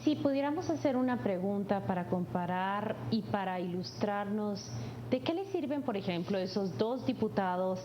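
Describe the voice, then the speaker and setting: A woman's voice: medium pitch, animated, normal speed. A woman putting questions as host of a panel interview. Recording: dull sound with clear noise.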